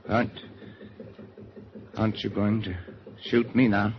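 A man acting an arrogant Nazi spy who pleads and bargains as a captive, in deliberate, halting, theatrical 1940s radio drama style. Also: on the low side, level tone, natural voice. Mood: nervous, relieved. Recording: muffled, noisy 1940s radio recording.

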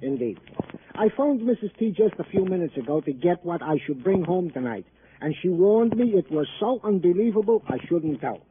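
Casting: comic-relief cop, talkative and mock-formal, lightish high voice, thin vintage radio sound. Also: male voice, expressive, normal speed. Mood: droll, chatty. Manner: wordy, mock-formal, meandering. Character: chatty, droll male police colleague telling domestic anecdotes. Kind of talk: old-time radio comic-relief acting. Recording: narrow, lightly noisy old radio recording.

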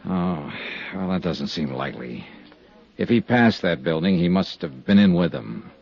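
A dull, vintage radio recording carries a hard-boiled American insurance investigator. He is a man with a low voice and lively inflection. His delivery is clipped and steady, in 1950s radio drama style. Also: normal speed, creaky, husky, full character voice. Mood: calm, probing. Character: tough, steady male freelance insurance investigator. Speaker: lead actor and narrator.

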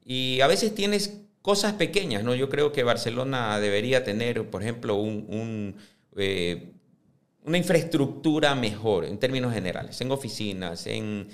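A man in his fifties answering interview questions on a podcast, speaking in a measured way that often restarts and repeats words as he builds his points. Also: mid-range voice, expressive. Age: 50s. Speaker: club presidential candidate.

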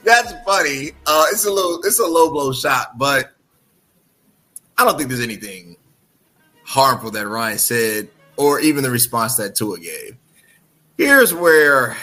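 A man's voice: medium pitch, slow pace, pauses often, bright, forceful tone, faint music behind. A man hosting a casual sports talk podcast, chatty, often checking in with "right?". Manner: chatty, frequent "right?" tags. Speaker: podcast host.

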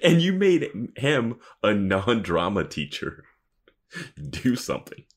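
A man co-hosting a podcast in casual conversation about a TV show, speaking in an animated, exclamatory way. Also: medium pitch, slow. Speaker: podcast co-host.

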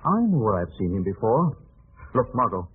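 An actor playing a resolute amateur detective in an old radio mystery, crisp, urgent and authoritative, on a tinny recording. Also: male voice, on the low side, very animated, normal speed. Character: commanding, quick-thinking male amateur detective, protective and resolute. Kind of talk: vintage radio mystery acting.

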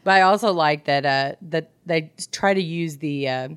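A woman co-hosting a casual book discussion, retelling things in an animated way and acting out dialogue. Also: deep voice, moderate pace, clear, resonant tone, no echo, studio quality. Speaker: podcast co-host.

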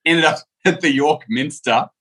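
An Australian man chats on a podcast as a guest. He tells stories in a lively, chatty way and laughs often. His voice is bright and forward. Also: a little high, moderate pace, slightly rough. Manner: chatty, animated storyteller who laughs often. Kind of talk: casual interview chat.

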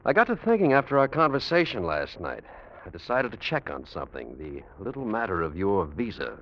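A man's voice: fairly low pitch, huge pitch swings, brisk, slight background noise. An actor playing a resentful, suspicious young society man in an old-time radio drama, stern and pointed with his rival. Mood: accusing, satisfied. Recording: dull old radio recording.

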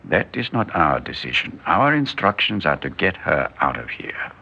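An actor in an old-time radio drama playing a shrewd, wary, cynical doctor with a theatrical, insinuating delivery. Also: male voice, low-pitched, sing-song, moderate pace, creaky, husky, full character voice. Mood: cynical, hushed. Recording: dull, muffled old radio recording.

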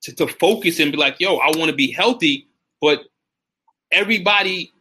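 Bright-voiced man in his forties. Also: a little high, moderate pace, a little hiss or hum. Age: 40s. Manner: animated, repeats words, gives shout-outs. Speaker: fitness coach and live stream host. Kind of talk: casual live stream chat.

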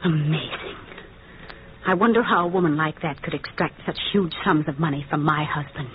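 A woman's voice: sits low, normal speed, lightly acted. An actress plays a haughty aristocratic wife, a woman of cool disdain, in an old radio drama. The sound is lo-fi with a faint wash of noise. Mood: scornful. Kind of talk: 1940s radio acting. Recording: dull, faintly noisy old radio recording.

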